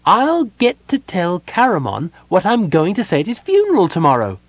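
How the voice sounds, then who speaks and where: An excitable little kender wanderer from a fantasy storybook, pitched way up high. The delivery is naive, cheerful and eager, bouncing all over in pitch. It chatters along in a scatterbrained, easily distracted way, close and dry. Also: male voice, normal speed, no room sound, exaggerated voice. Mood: delighted. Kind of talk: audiobook character voice.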